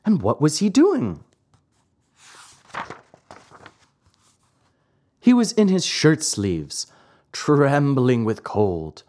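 A man voicing a naive wooden puppet boy who talks in an excited, pleading way. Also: slow pace, a little hiss or hum. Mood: earnest. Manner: excited, pleading, high-pitched.

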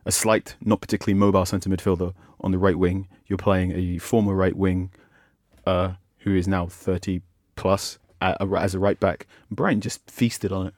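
A man with a Manchester accent giving wry, animated football opinions on a podcast. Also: sits low, normal speed, no echo, clean studio sound. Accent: Mancunian. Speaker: football pundit. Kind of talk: podcast analysis.

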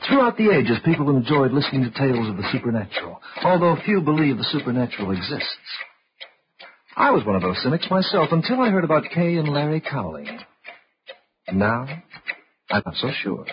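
A man's voice: mid-range voice, sing-song, lightly acted. Male actor for a 1940s radio drama narrator: theatrical sceptic, sweeping intonation, muffled vintage sound. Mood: sly, musing. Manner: theatrical, rhetorical, measured. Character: sceptical storyteller introducing a supernatural tale. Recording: muffled, noisy old radio recording.

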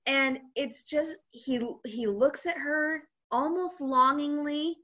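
A woman's voice: sits high, slow pace, sharp tone, highs cut off. A woman co-hosting a casual podcast, playful and fond of jokey asides.

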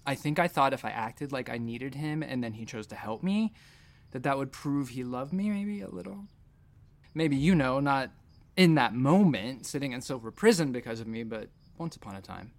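An actor playing a troubled space captain in therapy, rambling, self-deprecating and sardonic in a naturalistic audio drama style. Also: male voice, mid-range voice, expressive, moderate pace, close to an everyday voice. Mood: wistful, hurt.

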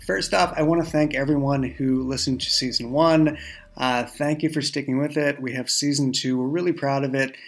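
A man who writes and creates a show, chatting casually and explaining in a halting, self-correcting way. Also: mid-range voice, vocal fry. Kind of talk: casual behind-the-scenes chat.

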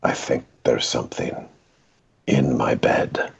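Frightened young boy played by a man, slow eerie whisper, campfire horror story. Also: extremely low pitch, little pitch movement, gravelly, breathy edge, highs cut off, heavily acted.

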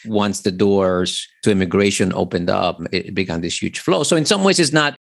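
A man's voice: deep voice, expressive, normal speed, creaky, dry recording. A man in his forties from the Dominican Republic, a lecturer telling his life story as a podcast guest, reflective, with fillers. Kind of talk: podcast interview.

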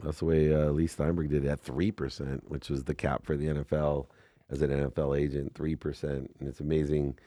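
A man's voice: very deep voice, flat delivery, brisk, mellow, dry recording. A man hosting a casual group conversation, tossing in jokes and asking the guests questions. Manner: joking interjections, hypes up guests. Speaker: podcast host.

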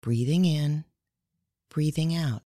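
A woman hosting and leading a guided meditation in a measured, instructional way, reading scripted narration. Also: deep voice, warm tone, no echo, clean studio sound. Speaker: meditation guide and podcast host.

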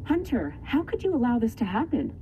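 A woman's voice: fairly high pitch, very animated, brisk, warm tone, a little hiss or hum, rough recording. A woman playing an earnest, insistent truth seeker in an audio drama.

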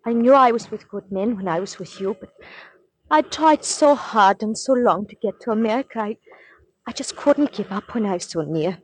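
An actress playing an anxious young Norwegian refugee in a 1940s radio drama, speaking haltingly and formally in an earnest way. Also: female voice, medium pitch, animated, slightly rough. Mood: pleading, desperate. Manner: halting, repeats words, earnest, formal phrasing. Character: anxious young Norwegian refugee woman, stateless, pleading, proper. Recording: noisy 1940s radio recording.

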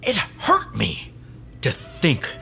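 An actor playing a pompous, theatrical office boss in an audio drama, speaking gravely with dramatic pauses. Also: male voice, on the high side, wildly swooping pitch, pushed and bright, faint music behind, exaggerated voice. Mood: wounded, commanding.

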